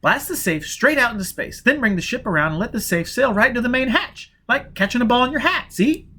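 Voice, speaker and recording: A man voicing a cool, competent young woman spacer who stays steady under pressure. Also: extremely high pitch, brisk, breathy edge, voice pushed up, heavily acted.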